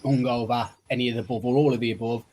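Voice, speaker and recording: A man's voice: mid-range voice, steady tone, rapid-fire, darkish tone, slight background noise, low-quality recording. An Irish man co-hosting a podcast, laid-back and sleepy from hay fever. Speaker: podcast co-host. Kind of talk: casual podcast chat.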